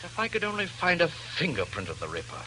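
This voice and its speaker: An actor playing a polite, determined police inspector in clipped 1940s radio drama style. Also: male voice, fairly high pitch, huge pitch swings, fast talker, sharp tone, exaggerated voice. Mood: grimly determined. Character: dutiful, determined male police inspector on a murder hunt. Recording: noisy old radio broadcast.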